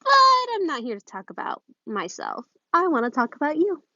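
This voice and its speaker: A woman's voice: sits high, huge pitch swings, normal speed, pushed and bright, no room sound, pitched above the performer's own voice, full character voice. A woman voicing a friendly, tactful teenage girl with an earnest, chatty manner in a story reading. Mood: friendly concern. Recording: muffled phone recording.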